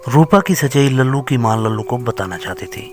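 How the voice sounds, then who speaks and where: A man's voice: medium pitch, animated, a little fast, a little mellow, soft background music. A man reading scripted horror fiction as a storyteller.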